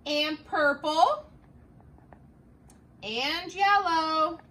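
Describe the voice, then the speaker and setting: A middle-aged woman teacher reading a picture book aloud in a classroom, slow and expressive, with the camera a few feet away. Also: very high voice. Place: classroom, indoors.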